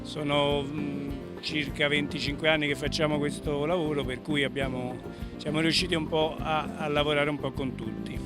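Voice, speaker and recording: A man's voice: on the high side, level tone, moderate pace. A middle-aged man, a graphic designer, answering interview questions informally. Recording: music playing behind the voice.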